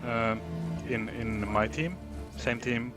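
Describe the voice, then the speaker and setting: A man from Finland with a Finland-Swedish accent chats casually and jokes as he drifts between topics. His mid-pitched voice sits over loud music playing behind him. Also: slow pace. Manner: chatty, joking, digressive. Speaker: co-host guest. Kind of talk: casual chat.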